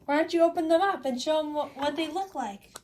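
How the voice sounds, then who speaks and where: A woman's voice: sits high, a little fast, heavy background noise. A woman narrating a casual toy unboxing for children, using simple phrases and small exclamations.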